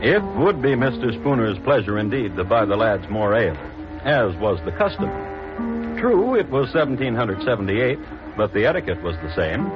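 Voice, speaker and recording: A man narrating as host of a 1950s radio crime drama, dry and wry, with deliberate dramatic pauses. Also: lowish voice, big pitch swings. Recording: muffled vintage radio broadcast. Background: music.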